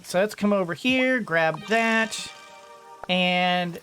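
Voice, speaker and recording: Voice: mid-range voice, slow pace, a bit bright, faint music behind. A game streamer giving casual commentary, rambling and thinking aloud while playing.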